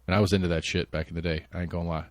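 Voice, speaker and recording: Casual male co-host, slightly low voice, wisecracking and relaxed, recorded dry. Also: very fast, dry recording. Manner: laid-back, joking, swears freely. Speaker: podcast co-host. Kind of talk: casual chat.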